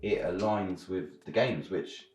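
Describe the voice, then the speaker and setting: A man's voice: low-pitched, slow, darkish tone, roomy sound, soft background music. A British man giving his opinions on films and games in a casual, slangy chat as a reviewer. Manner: informal, slangy. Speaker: film and game reviewer.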